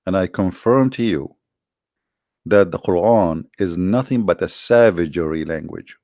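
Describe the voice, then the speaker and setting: Low-voiced man. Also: a little slow, a little mellow, dry recording, clean studio sound. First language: Arabic. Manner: scornful, mocking, emphatic. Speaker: online debate host. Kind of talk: combative commentary to viewers.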